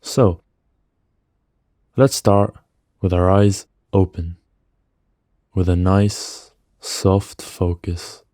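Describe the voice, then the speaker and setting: A man narrating a guided meditation in a soothing, gentle manner, with measured phrases and pauses, close on the mic. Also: deep voice, level tone, no echo.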